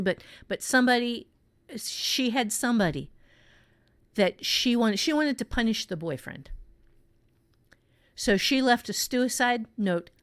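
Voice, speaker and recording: A woman improvising a story in a conversational writing lesson, thinking aloud in a halting way with repeated phrases. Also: on the high side, no room sound, clean studio sound. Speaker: author and writing teacher.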